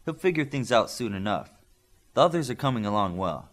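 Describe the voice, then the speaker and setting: A man voicing an assured, measured veteran combat instructor in an audiobook. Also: mid-range voice, very animated, close to an everyday voice.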